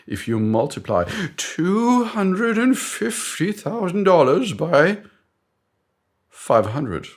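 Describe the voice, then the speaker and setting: A man's voice: sits high, very animated, slow pace, pitched above the performer's own voice, heavily acted. A man voicing a smug British boardroom executive in a comedy sketch, self-assured and emphatic. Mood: smug, persuasive.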